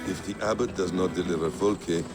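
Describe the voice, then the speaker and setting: An actor playing a guarded, cunning mentor warrior in a video game, speaking in a measured, cryptic storyteller's manner. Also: male voice, sits low, fairly even intonation, over loud music. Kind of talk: dramatic video game acting.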